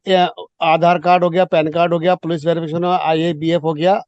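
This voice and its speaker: A man's voice: high-pitched, a little mellow, dry recording. A man talking through work practice casually as a meeting participant. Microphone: online conference call. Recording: muffled lo-fi conference call.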